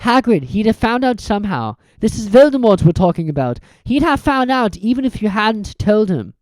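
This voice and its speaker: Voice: mid-range voice, sing-song, normal speed, a little mellow, no echo, pitched above the performer's own voice, close to an everyday voice. A reader voicing a thoughtful, brave young schoolboy who speaks earnestly. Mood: urgent.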